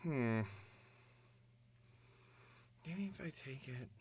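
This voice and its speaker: A man's voice: mid-range voice, expressive, drawn-out words, a little hiss or hum. A man talking casually to himself while practicing, thinking aloud in a self-deprecating mutter. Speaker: amateur voice actor.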